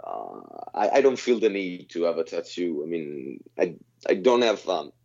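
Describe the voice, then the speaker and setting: Deep-voiced man with a halting delivery. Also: sing-song, gravelly, darkish tone. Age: 30s. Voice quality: very low, deep voice. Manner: halting, self-correcting, chuckles often. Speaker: guest vocalist. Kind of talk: casual interview answers. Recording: slightly dull lo-fi sound.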